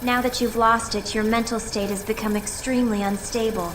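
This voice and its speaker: Young female operative, acted by a woman, video game, high voice, serious, earnest, measured, clear background noise. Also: fairly even intonation, natural voice. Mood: grave.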